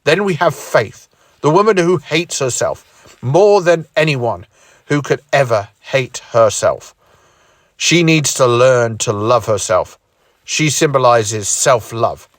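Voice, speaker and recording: A man voicing a stern older male time traveller who explains things in a steady way. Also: medium pitch, takes it slowly, no room sound, studio quality.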